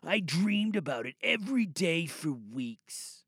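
A man playing a calm, creepy surfer-dude serial killer in an unhurried, intimate audio drama monologue. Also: on the high side, husky, no room sound, clean studio sound, heavily acted. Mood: calm, menacing.

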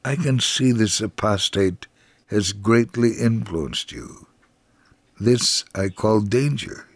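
A man voicing a stern, hostile rabbi who warns and accuses with slow emphasis in a dramatized radio testimony. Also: lowish voice, no room sound. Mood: menacing.

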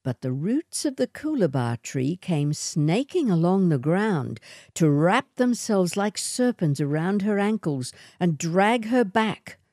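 Slow, mellow woman storyteller. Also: on the low side, very animated, no echo, clean studio sound. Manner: measured, atmospheric. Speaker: short story reader. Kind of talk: literary storytelling narration.

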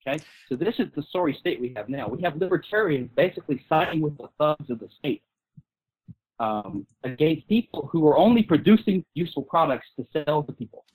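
A man's voice: a little high, animated, fairly quick, darkish tone, slightly rough, low-quality recording. A man speaking as a guest in an informal discussion, explaining his case argumentatively and with emphasis. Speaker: guest speaker on intellectual property.

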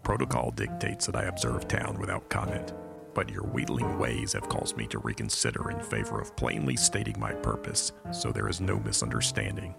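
A man playing a stern, officious old eyeball inspector in an audio comedy, very deep and gravelly, with formal, clipped speech. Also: animated, moderate pace, loud music behind the voice, exaggerated voice. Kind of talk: audio comedy drama acting.

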